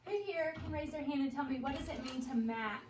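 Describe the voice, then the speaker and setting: High-voiced woman reading aloud, echoey and distant. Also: brisk. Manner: questioning, repeats pupils' answers, emphatic teacher delivery. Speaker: teacher reading aloud to young children. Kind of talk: interactive storybook read-aloud. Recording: roomy echo, distant mic, background noise.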